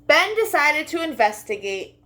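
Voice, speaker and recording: Female children's storytime host: high, animated read-aloud delivery, speaking straight to little ones. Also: normal speed. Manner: expressive, addressing children directly.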